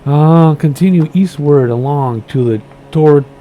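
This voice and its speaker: A man's voice: on the high side, normal speed, lo-fi sound, a little hiss or hum. A man casually commenting on a flight sim game and reading place notes aloud, losing his voice and stumbling over names with a playful, rambling manner. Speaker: gaming streamer.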